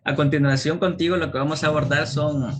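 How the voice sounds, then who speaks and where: A man hosting a podcast, first reading a guest introduction in a measured, formal way, then interviewing his guest. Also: a little high, fairly even intonation, slight background noise. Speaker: podcast host.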